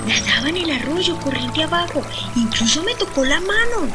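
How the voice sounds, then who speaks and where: A woman plays an excitable little village boy with a very high, eager voice. He exclaims his way through an audio drama, with loud music behind him. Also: moderate pace. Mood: startled, insistent. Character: lively, excitable seven-year-old village boy.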